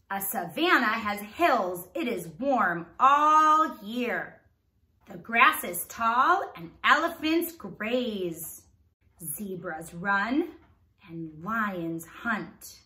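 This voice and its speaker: A woman's voice: fairly high pitch, bright, forceful tone, reverberant. A woman reading aloud as a teacher to young children, enunciating carefully and prompting them with questions.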